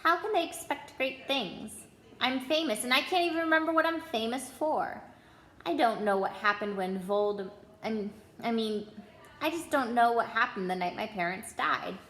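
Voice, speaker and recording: Woman as a bewildered young boy, bright and echoey. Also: fairly high pitch, expressive, normal speed. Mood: bewildered, worried.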